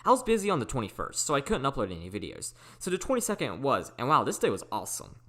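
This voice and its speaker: A teenage male YouTuber reads out a scripted storytime at a brisk clip. His pitch swings up and down in a very animated way, and he speaks up clearly. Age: teens, almost seventeen. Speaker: YouTuber telling personal stories. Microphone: recorded at a computer or phone.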